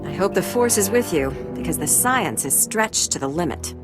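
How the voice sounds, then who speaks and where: A woman acting a brisk, dry-witted medical researcher in a video game, wry and matter-of-fact. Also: fairly low pitch, lively intonation, music in the background, close to an everyday voice. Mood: wry, resolute.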